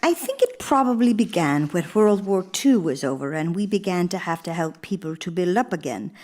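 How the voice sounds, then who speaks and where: A woman's voice: on the low side, animated, darkish tone. Woman playing an elderly, genteel stateswoman, expressively lilting. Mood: gracious, earnest. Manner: measured, genteel, deliberate storytelling. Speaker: stage actress. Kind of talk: theatrical one-woman monologue. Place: live radio theater performance in a church.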